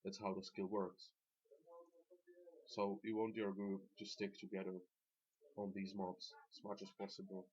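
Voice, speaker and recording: Casting: male game-guide commentator, Slovak accent, lowish voice, very even delivery, halting and plain-spoken, unscripted. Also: slightly rough. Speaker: gamer presenting a dungeon tanking guide. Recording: dull lo-fi voice track.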